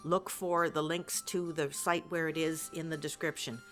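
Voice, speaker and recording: A woman's voice: low-pitched, little pitch movement, moderate pace, fairly bright tone, faint music behind. A woman being interviewed as a voice actor, chatting casually in a hesitant, rambling way, as if thinking aloud.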